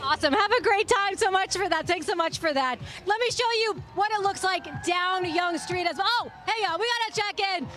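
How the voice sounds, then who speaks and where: Female street reporter, very high bright voice, upbeat and chatty, live outdoors at a parade. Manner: upbeat, chatty, quick greetings to passersby. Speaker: field reporter.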